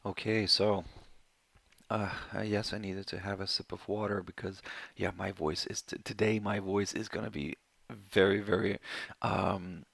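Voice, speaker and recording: A man hosting a market webinar, his voice hoarse and gravelly with a low pitch. He rambles through his points, fills the pauses with ums and corrects himself as he goes. The sound is dry and close. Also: fairly even intonation, fairly bright tone, dry recording. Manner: rambling, many fillers and self-corrections. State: hoarse, voice going. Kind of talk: live market webinar commentary.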